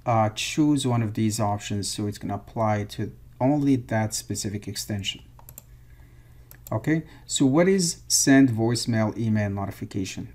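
A man's voice: on the low side, darkish tone. A man presenting an instructional screencast, explaining things step by step. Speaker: software tutorial presenter.

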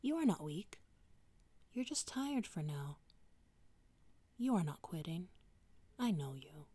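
A young woman reads poetry for ASMR in a hushed, breathy whisper, drifting nearer and farther from the microphone. She takes her time over every line, unhurried and slow. When her voice rises above the whisper, it sits a little low. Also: sing-song, no room sound. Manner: soft unvoiced whispering, varied mic distance.

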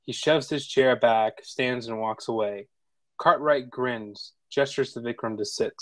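A man's voice: mid-range voice, a little slow, a bit bright, dry recording. A man reading out the action lines of a film script as narrator at a table read.

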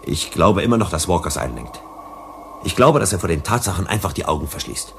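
A man's voice: sits low, big pitch swings, fairly quick, gravelly, fairly bright tone, soft background music, exaggerated voice. An actor playing a principled, cautious male psychologist in a sci-fi radio play, emphatic and lecturing. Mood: thoughtful. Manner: emphatic, didactic.

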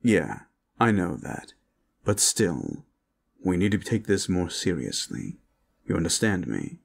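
Man playing a low, slow, serious protector. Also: sing-song, gravelly, exaggerated voice. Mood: stern, weary. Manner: measured, earnest. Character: weary, serious male protector, calm and cautious. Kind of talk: audio roleplay drama.

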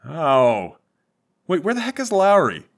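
Male roleplayer, very animated delivery, moving between joking table talk and theatrical in-character lines. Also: a little high, unhurried. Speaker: player in a tabletop game. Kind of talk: casual comic banter and in-character roleplay.

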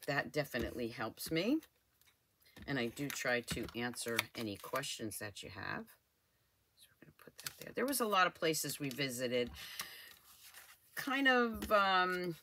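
A low-voiced older woman with a bright, forceful tone, talking casually through a crafting project at her desk. She thinks out loud as she works. Faint clicking can be heard behind her. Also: slight background noise. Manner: chatty, thinking aloud while working. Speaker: crafting channel host. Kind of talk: casual crafting tutorial voice-over.

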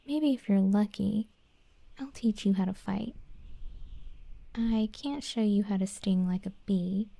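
A woman voicing a brash but begrudgingly kind tsundere scorpion girl in a soft, whispered ASMR roleplay, teasing and slangy, close to the microphone. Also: medium pitch, unhurried, creaky, pauses often, no room sound. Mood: smug, teasing. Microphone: close, dry ASMR microphone.

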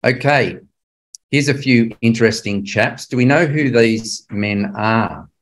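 Male Bible class teacher, older, average pitch; explains history conversationally, leaning on key words and quizzing listeners. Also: unhurried. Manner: conversational, emphatic, puts rhetorical questions to listeners. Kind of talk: illustrated history lecture to a religious class.

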